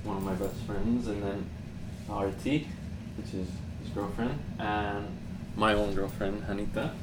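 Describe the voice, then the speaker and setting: Casual young male podcast guest, fairly deep voice, noisy room sound. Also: unhurried, far from the mic. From Colombia. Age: 20s. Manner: relaxed, chatty, with many fillers. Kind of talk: casual conversation. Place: home studio room.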